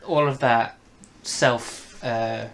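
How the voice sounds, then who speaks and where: Casual male podcast reviewer, enthusiastic, mid-pitched with a gravelly creak, some background noise. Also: steady tone, very slow, fairly bright tone, low-quality recording. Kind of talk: casual chat reviewing an audio drama.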